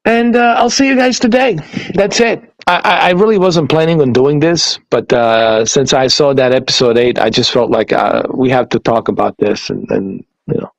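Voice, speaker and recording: A man's voice: mid-range voice, normal speed, hardly any pauses, dry recording. A man hosting a casual stream and podcast, laughing often and swearing freely. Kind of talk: casual chat.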